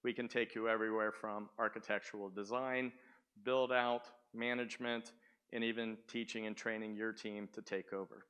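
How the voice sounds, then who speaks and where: A man giving a sales presentation at a tech conference, booming and often asking the audience questions. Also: little pitch movement, normal speed, a bit bright, clean tone. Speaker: conference speaker. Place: conference room. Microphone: speaking without the microphone at first.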